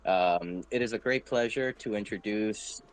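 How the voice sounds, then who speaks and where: A man's voice: on the low side, a little slow. A man hosting an online music show, talking casually with many fillers and restarts. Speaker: host.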